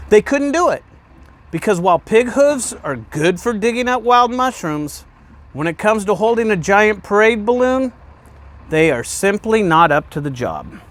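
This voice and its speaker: A man with a fairly high voice reads a picture book aloud for children. His delivery is very animated, rising and falling widely. He puts questions to the young listeners and sets off key phrases with emphatic pauses. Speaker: guest reader, fire department deputy chief.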